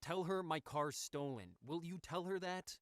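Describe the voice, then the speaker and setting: A man's voice: fairly high pitch, a little slow, no echo, studio quality, lightly acted. A man playing an evasive, hunted caller in an audio thriller, clipped and urgent. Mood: guarded, urgent. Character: Artie, evasive, hunted man on a secret call.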